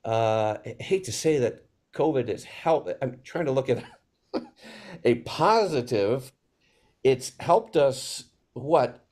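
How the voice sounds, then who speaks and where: A man in a reflective panel discussion over a video call, halting and ruminative, posing rhetorical questions as he thinks aloud. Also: medium pitch, lively intonation, darkish tone. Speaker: theater panelist.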